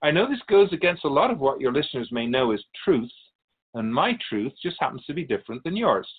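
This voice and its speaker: A man playing a wise, gentle, cryptic otherworldly keeper of souls in a radio drama, speaking in a measured, courteous, philosophical way. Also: a little high, sing-song, breathy edge, phone-quality sound. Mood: cryptic, teaching.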